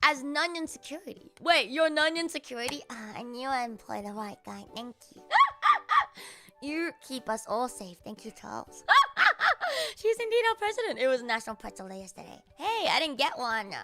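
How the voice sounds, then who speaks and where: A young Australian woman talks loosely to her chat, and her rather high, bright voice swings widely in pitch. Her banter is sarcastic and full of swearing. Faint music plays underneath. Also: very animated, moderate pace. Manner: sarcastic, swears freely, laughs often. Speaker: live streamer. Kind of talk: casual live-stream chat, reading and answering comments.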